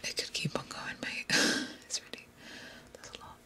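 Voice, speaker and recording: A young woman whispering softly as she chats with viewers of her live stream. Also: brisk. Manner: soft unvoiced whisper throughout. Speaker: ASMR creator reading live chat.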